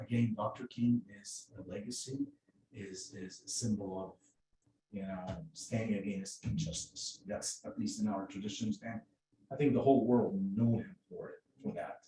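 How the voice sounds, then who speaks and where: A middle-aged man from Egypt speaking on a panel in English, with many halting restarts and fillers. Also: fairly low pitch, warm tone. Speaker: mosque outreach volunteer. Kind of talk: panel discussion. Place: event room. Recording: roomy distant sound with faint noise.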